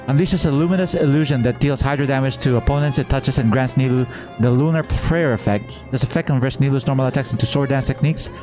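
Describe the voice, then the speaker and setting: A man doing a casual live gameplay reaction as a streamer, informally thinking out loud. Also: mid-range voice, quick pace. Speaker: game streamer. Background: faint game music.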